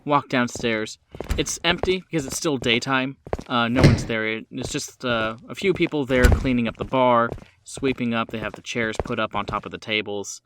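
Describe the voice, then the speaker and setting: A man's voice: mid-range voice, normal speed, very noisy. A man improvising narration as game master of a tabletop game, feeling his way through scenes in fragments. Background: music.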